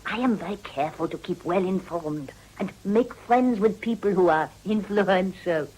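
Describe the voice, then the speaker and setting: An actress playing a proud, unflappable brothel madam with a Belgian accent and a haughty, self-possessed manner in a radio drama. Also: female voice, medium pitch, moderate pace, darkish tone, slightly rough, natural voice, rough recording. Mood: cool, assured.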